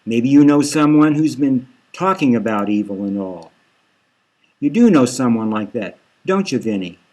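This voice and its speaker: A man voicing a guileful female crime fighter who coaxes a suspect kindly in a dark satirical audio novel. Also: medium pitch, lively intonation, normal speed, lightly acted. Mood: gently probing. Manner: coaxing, soothing, leading questions.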